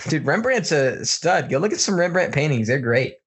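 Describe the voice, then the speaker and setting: A man with an American accent hosting a casual, jokey podcast, telling news stories in an informal, animated way. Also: fairly high pitch, normal speed, slightly rough. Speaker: podcast host. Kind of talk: casual comedic news banter.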